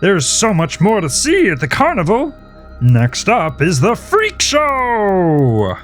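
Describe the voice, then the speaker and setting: A man's voice: high voice, huge pitch swings, slow, soft background music, voice pushed up, full character voice. A man voicing a gleeful, tormenting male carnival host with exuberant, theatrical cheer in a horror audio story. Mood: manic excitement. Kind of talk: horror audio fiction character voice.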